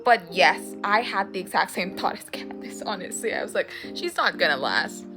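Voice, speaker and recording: Excitable woman with a light Thai accent. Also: mid-range voice, moderate pace. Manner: excitable, dramatic, rambling with restarts. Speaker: book vlogger. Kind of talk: casual reading reaction vlog. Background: faint background music.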